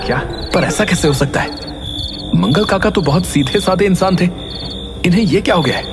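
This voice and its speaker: A man's voice: high voice, expressive, a little fast. A man voicing an earnest young village man who talks in urgent, pleading exclamations in a cartoon. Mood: alarmed. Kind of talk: cartoon voice acting. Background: music.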